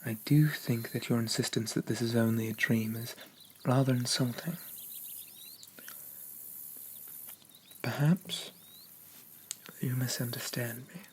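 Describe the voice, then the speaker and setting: A man as a slow, haughty demon prince. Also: medium pitch, slight background noise, close to an everyday voice. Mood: haughty, offended. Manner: pompous, formal, softly condescending. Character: haughty, possessive young male dream demon prince. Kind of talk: slow ASMR roleplay, half whispered.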